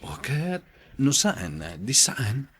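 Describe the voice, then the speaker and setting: A man's voice: mid-range voice, sing-song, slow pace, fairly bright tone, a little hiss or hum. A man acting an insistent, coaxing secret lover, a personal driver, in a podcast drama.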